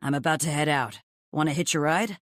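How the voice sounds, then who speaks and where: A man's voice: on the high side, fairly quick, fairly bright tone, dry recording, clean studio sound, natural voice. A man playing a playful, apologetic brother in his late thirties in a romance audiobook. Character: thirty-nine-year-old playful, cheeky, apologetic older brother.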